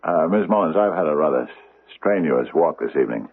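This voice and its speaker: An actor playing an imposing, testy older judge with formal, halting speech in an old-time radio drama. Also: male voice, extremely low pitch, animated, husky, full character voice. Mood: halting, coaxing. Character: imposing older male judge, secretly a killer, testy and hungry. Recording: thin, narrow-band old radio broadcast.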